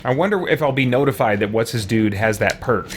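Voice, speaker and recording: Male streamer, medium pitch, casual think-aloud gameplay commentary, muttering to himself, music audible underneath. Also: expressive, fairly quick. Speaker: game streamer.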